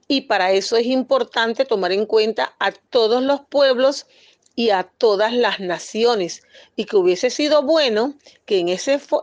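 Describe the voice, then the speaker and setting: A woman's voice: fairly high pitch, no room sound. A woman from Panama, speaking as an activist in a firm, insistent press statement with occasional hesitations.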